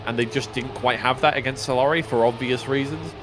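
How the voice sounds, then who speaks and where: A man's voice: medium pitch, steady tone, fairly quick, over music. A man casting a live racing esports match, talking dryly and teasingly with lots of filler words. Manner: dry, teasing, many fillers and restarts. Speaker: esports caster. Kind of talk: casual live esports commentary.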